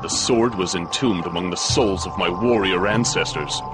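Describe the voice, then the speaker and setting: A man's voice: deep voice, moderate pace, over music, natural voice. A male actor playing a grim, proud, vengeful blind swordsman in a fighting game, grave and formal. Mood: somber. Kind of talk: fighting game cutscene acting.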